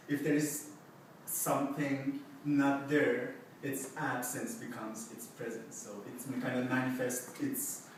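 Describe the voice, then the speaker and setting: A young man whose first language is Farsi speaks about his own paintings with no notes, halting and loose, full of filler words and restarts. His voice sits at an average pitch for a man. He is heard from a distance in a very echoey exhibition room, with faint room noise behind him. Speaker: artist presenting his paintings. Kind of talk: unscripted gallery talk. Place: art exhibition space indoors.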